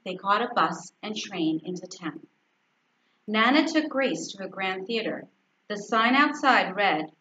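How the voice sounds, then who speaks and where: A woman's voice: medium pitch, a bit bright. A woman teacher reading a children's storybook aloud. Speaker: fourth grade teacher. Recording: echoey, dull, faintly noisy recording.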